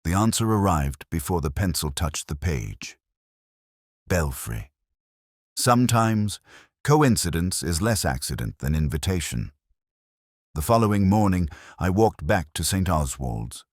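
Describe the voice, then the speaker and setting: A man playing a world-weary older detective inspector, narrating a mystery audio drama in a measured, literary, brooding manner. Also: sits low, sing-song, dry recording, studio quality, exaggerated voice.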